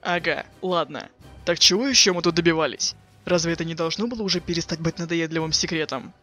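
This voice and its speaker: A man voicing a cool, sarcastic teenage boy with offhand deadpan delivery in a webcomic dub. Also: fairly high pitch, fast, faint music behind. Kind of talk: fan dub of a webcomic chat.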